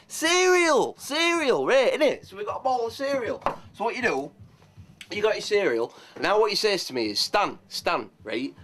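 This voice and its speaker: Bloke doing a brash yorkshire lad cook, sweary and rambling, voice swings up and down a lot. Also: male voice, high voice, a bit bright. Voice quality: swaggering, profane, rambling. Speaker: comic cook character. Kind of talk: improvised comedy sketch.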